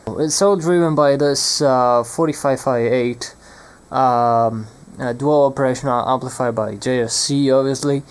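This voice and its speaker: A man's voice: medium pitch, measured pace, smooth. A young man presenting an unscripted hands-on electronics demonstration, speaking hesitantly and searching for his words.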